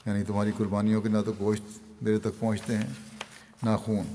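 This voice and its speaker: An older man with a Pakistani Urdu accent delivering a Friday sermon, reading from notes at a podium microphone in a large mosque hall in a measured, solemn manner. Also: lowish voice, flat delivery, dark tone. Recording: long reverb of a large hall.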